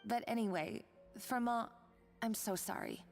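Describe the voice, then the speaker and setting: A woman's voice: fairly high pitch, measured pace, big-room sound, soft background music. A woman voicing a kind, apologetic young kitchen coworker in a video game, earnest and sympathetic. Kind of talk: English video game voice acting.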